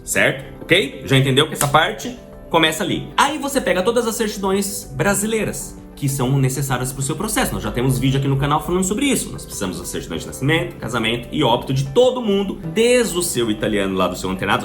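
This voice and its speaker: A Brazilian man teaches to the camera in a slightly raised voice that swings widely as he explains. He keeps it conversational, dropping little check-in questions. Soft guitar plays faintly behind him. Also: fairly high pitch. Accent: Brazilian Portuguese. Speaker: citizenship process instructor. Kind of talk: explanatory video lesson.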